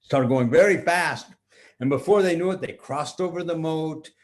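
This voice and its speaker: An older man with a fairly high voice tells a children's story as a grandfather would. He makes it up as he goes, using simple, repeated phrases and stretching out words. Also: normal speed. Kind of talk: improvised bedtime storytelling for children.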